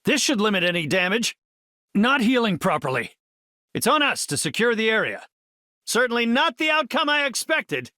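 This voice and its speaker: A man playing a brainy middle-aged superhero scientist in a video game, articulate and measured, polite, earnest and dryly witty. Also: high voice, big pitch swings, sharp tone, no echo, clean studio sound, full character voice. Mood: bright, heroic. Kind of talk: video game character dialogue.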